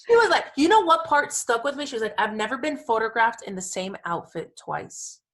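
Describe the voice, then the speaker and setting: A woman's voice: on the high side, lively intonation, brisk, a bit bright. A woman co-hosting a podcast, chatting casually and emphatically in an informal, gushing way peppered with "like" and "you know". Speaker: podcast co-host.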